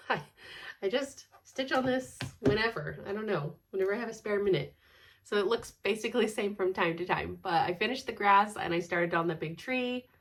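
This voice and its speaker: A woman in her thirties talks at an ordinary pitch, her voice thick and stuffed up from congestion. She chats casually at home, rambling into asides and breaking into laughter often. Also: moderate pace, a little hiss or hum. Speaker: cross-stitch vlogger. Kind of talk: casual chat.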